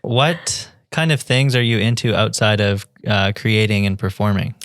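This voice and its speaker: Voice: medium pitch, fairly even intonation, moderate pace, dry recording. A podcast host who keeps his questions short and plain. His voice sits in a middle range, and the recording is close and dry. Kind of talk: interview questions.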